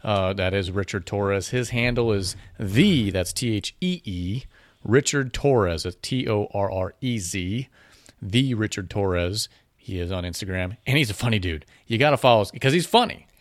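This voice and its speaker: Low-voiced man co-hosting a boxing podcast. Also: normal speed, dry recording. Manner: promotional, plugs sponsors and events. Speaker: podcast co-host. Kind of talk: casual podcast chat. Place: podcast room in a boxing gym. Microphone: studio mic with headphones.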